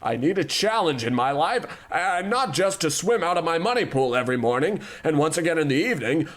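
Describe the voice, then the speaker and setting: A man plays a smug, pompous tycoon in a comedy radio play, in a raised voice with big, lively swings of pitch. He lords it over everyone, flippant and self-satisfied. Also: fairly quick. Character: pompous, careless middle-aged male animation tycoon. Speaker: company boss character.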